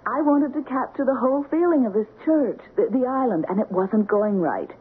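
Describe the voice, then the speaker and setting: An actress with an American accent playing a dreamy, strong-willed wife, intense and halting in a 1970s radio drama. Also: female voice, on the high side, animated, husky. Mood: entranced, determined. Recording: tinny, noisy 1970s radio broadcast recording.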